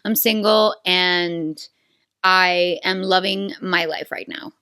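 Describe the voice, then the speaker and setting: A woman's voice: on the low side, steady tone, slow pace, bright, forceful tone, clear, resonant tone, no room sound, clean studio sound. A woman in her thirties from Venezuela, a native Spanish speaker with a Venezuelan accent, hosting her own podcast in playful, chatty, self-aware talk. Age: 30s. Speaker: podcast host. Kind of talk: casual solo podcast chat.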